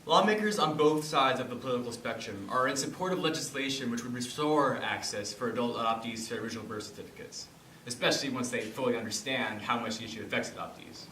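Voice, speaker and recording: A man's voice: medium pitch, fast talker, sharp tone. A man delivering scripted, informational narration on stage. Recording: echoey room, distant mic, faint noise.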